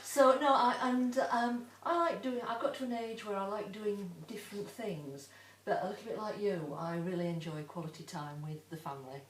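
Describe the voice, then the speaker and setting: A woman's voice: medium pitch, steady tone, normal speed, hardly any pauses. A middle-aged woman from England, chatty and joking as she introduces herself. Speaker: workshop participant. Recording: echoey room from far off, faint noise.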